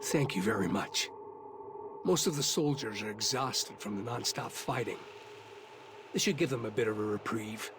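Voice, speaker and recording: An actor playing an earnest, courteous soldier in a fantasy video game, speaking formally. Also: male voice, fairly low pitch, normal speed, noisy background, close to an everyday voice. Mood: grateful. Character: earnest, grateful male soldier of a fantasy guard. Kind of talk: video game cutscene acting. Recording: voice over game music.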